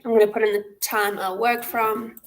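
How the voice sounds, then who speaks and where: A South African woman with a fairly high voice leads a live online tutorial. She explains each step in an informal, instructional way, as a host guiding participants through a platform. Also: flat delivery, moderate pace. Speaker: hackathon host and presenter. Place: indoor room, on a livestream webcam.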